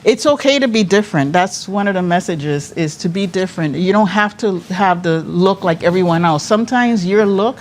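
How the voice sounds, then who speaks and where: A woman in her fifties who was born in Cuba, a pageant director sharing her journey as a guest. Her voice sits at a middling pitch, and she talks in a lively way with frequent laughs and many self-corrections. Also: moderate pace, few pauses, a little hiss or hum. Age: 50s. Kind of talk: interview storytelling.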